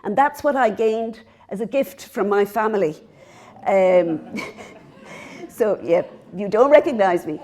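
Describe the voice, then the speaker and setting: A middle-aged Irish woman gives a university lecture, her voice mellow and soft-edged. She speaks earnestly, with small self-deprecating laughs between her points. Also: mid-range voice, animated, slow. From Ireland. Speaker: professor giving a lecture. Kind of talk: public lecture. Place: lecture hall.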